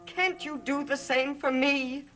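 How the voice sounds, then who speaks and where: Slow-spoken, defiant daughter. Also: female voice, high-pitched, music in the background. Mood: pleading. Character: defiant, wounded daughter of witch parents. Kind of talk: gothic soap opera acting, slow and dramatic.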